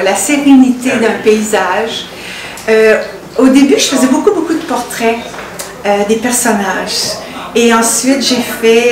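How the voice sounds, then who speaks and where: Echoey woman painter. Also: on the high side. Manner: hesitant, with frequent fillers. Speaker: painter at an exhibition. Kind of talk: casual spoken self-presentation. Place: gallery hall with visitors. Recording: very echoey room from a distance, crowd behind.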